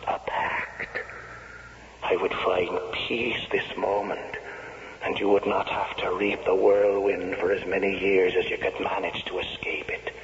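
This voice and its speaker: An actor playing the grave, bitter ghost of a murdered Irishman, speaking slowly and solemnly with an Irish accent and slyly bargaining in a radio drama. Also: low voice. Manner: slow, solemn, eloquent tempter. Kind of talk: 1970s radio drama acting. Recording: muffled, faintly hissy old radio, long reverb.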